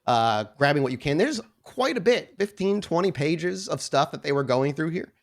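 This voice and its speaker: A man improvising tabletop game narration as game master, halting as he searches for words. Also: on the high side, expressive.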